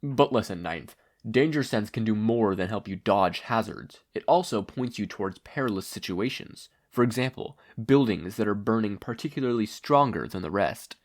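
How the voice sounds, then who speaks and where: A reader voicing a deadpan male ghost mentor who gives matter-of-fact advice in a story. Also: medium pitch, moderate pace, hardly any pauses, close to an everyday voice. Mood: deadpan, instructive.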